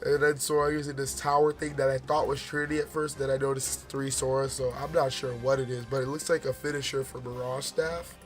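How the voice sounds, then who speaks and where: Male gaming vlogger, voice going hoarse, casual rambling commentary, mid-range pitch. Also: level tone, brisk, few pauses, soft background music. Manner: rambling, many fillers and restarts; forcing his voice out. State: hoarse, losing his voice. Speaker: gaming video host.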